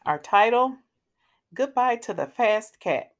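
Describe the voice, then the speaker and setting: A woman narrates a children's storybook at a slow, deliberate pace. Her pitch moves expressively up and down, clearly aimed at young children. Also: expressive. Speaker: children's read-aloud host.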